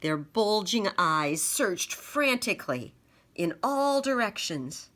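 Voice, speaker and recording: Woman reading a kids story out loud, dramatic n slow, voice goes up and down a lot. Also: mid-range voice, big pitch swings. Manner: dramatic, deliberate storytelling with sound effects. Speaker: storyteller reading a children's book. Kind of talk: expressive children's picture-book read-aloud.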